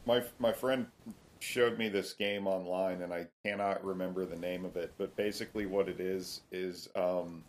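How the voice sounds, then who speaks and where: Low-pitched male co-host, chatty, restarts his sentences, laughs easily. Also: steady tone, moderate pace. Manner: chatty, stammers into stories, laughs a lot. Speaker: podcast co-host. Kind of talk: casual chat.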